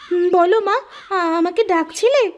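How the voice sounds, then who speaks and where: A woman's voice: very high voice, expressive, moderate pace, muffled recording. A woman playing a sweet, devoted young village daughter in a cartoon, speaking earnestly and tenderly. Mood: anxious, caring. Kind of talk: Bengali cartoon voice acting.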